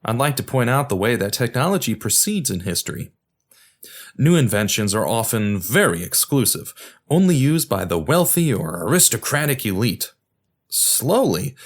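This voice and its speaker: A man's voice: medium pitch, sing-song, normal speed. A man hosting a podcast, reading scripted documentary narration in an explanatory way and addressing the listener directly.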